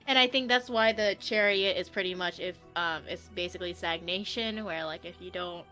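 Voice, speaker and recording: A woman's voice: on the low side, moderate pace, bright, forceful tone, soft background music. A woman hosting a casual live stream as a tarot reader, giggly and informal in manner.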